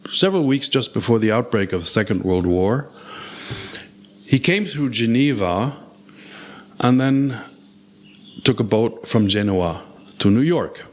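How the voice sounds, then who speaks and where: A man in his sixties, raised in West Berlin, answers an interviewer's questions. His voice sits on the low side. He speaks in a measured way and often pauses on "uh" while he collects his thoughts. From grew up in West Berlin. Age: 60s. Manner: measured, with frequent hesitations. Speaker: interview guest. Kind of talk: conversational interview. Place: Thoiry, near Geneva.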